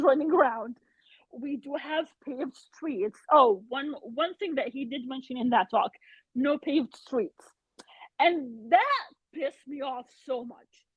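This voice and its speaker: A woman from Iraq speaks as a podcast guest over a tinny, phone-like line. Her voice sits high and the sound is dry and close, and she talks in an animated way, with lots of ums and likes. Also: measured pace, breathy edge, no echo. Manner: animated, frustrated, loud with many fillers. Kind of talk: casual conversation.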